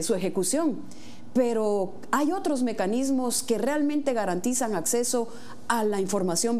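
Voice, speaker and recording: A woman from Guatemala, a mayor-elect, giving earnest explanatory answers in a studio interview, often pausing on "eh". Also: on the high side, warm tone, a little hiss or hum. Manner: earnest, explanatory, frequent "eh" hesitations.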